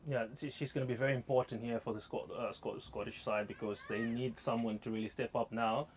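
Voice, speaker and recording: A man from Zimbabwe with a Zimbabwean accent doing live cricket commentary, talking in a hesitant way with frequent pauses and fillers. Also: medium pitch, fairly even intonation, a little hiss or hum. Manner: hesitant, many uh fillers, laughs easily.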